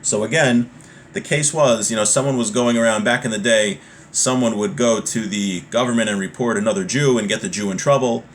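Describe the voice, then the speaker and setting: A man's voice: fairly low pitch, a little fast, a little hiss or hum. A man giving an informal religious law lesson, reading from a text and explaining it conversationally with repeated points and everyday examples.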